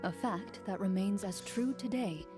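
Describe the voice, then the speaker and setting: Actress as a solemn game narrator, measured, music under her. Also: mid-range voice, natural voice. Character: solemn female storyteller guiding the quest.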